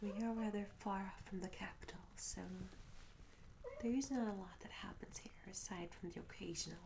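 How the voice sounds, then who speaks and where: A charming young tavern maid, acted by a woman in a fantasy ASMR roleplay. She speaks in a soft, breathy half-whisper, courteous and coy, with gentle storybook phrasing. The mic is close, with clear noise and small clicks around the voice. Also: mid-range voice, moderate pace, lightly acted. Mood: coy, curious. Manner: gentle, polite, old-fashioned storybook phrasing. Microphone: close ASMR microphone.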